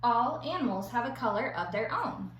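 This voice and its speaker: A woman schoolteacher reading aloud to young children and explaining an activity in simple, clear phrases. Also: fairly high pitch, expressive, moderate pace. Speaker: elementary school teacher. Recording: echoey room with faint background noise.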